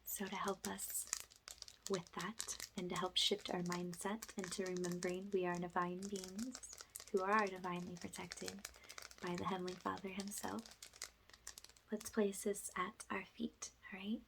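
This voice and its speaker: A woman leading an ASMR guided spiritual healing session, speaking softly and breathily, often in a whisper, with slow, gentle, soothing guidance. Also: medium pitch, little pitch movement, creaky, noisy background. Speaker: ASMR energy healer, host.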